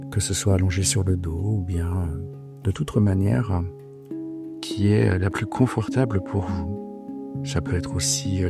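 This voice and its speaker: A man leading a guided sleep meditation in a soothing, unhurried, hesitant way with long pauses. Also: low-pitched. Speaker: yoga nidra guide. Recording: soft guitar music behind the voice. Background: gentle plucked guitar music.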